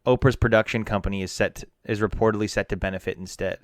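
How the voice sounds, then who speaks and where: A man's voice: lowish voice, steady tone, quick pace, dry recording, studio quality. A man co-hosting a casual podcast while feeling sick, telling rambling, profane, self-mocking stories. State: ill, under the weather. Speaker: podcast co-host. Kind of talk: casual chat.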